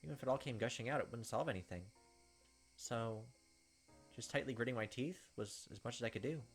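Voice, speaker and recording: A man's voice: mid-range voice, brisk. A man hosting a let's play, reading game text aloud dramatically with casual asides. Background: game music.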